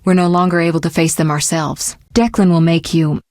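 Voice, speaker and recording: A woman's voice: lowish voice, fairly even intonation, a little fast, a little mellow, no room sound, clean studio sound, lightly acted. A woman voicing a self-assured, sly sorceress in a video game, speaking in poised, articulate, formal phrases with a teasing edge. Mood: composed, advising. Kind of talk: scripted video game dialogue.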